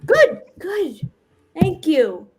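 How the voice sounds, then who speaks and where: A woman's voice: very high-pitched, wildly swooping pitch, drawn-out words, a little mellow, slight background noise, heavily acted. A woman actor in a staged play reading, playing Death as an exasperated, reluctant office worker with an emphatic, conversational delivery. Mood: exasperated insistence. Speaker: actor in a community theater reading.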